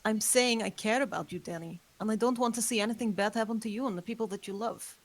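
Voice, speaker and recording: A woman's voice: mid-range voice, fast, natural voice. A woman reading the part of a young female vampire who earnestly warns her roommate of danger, in a script table read. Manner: earnest, urgent warnings.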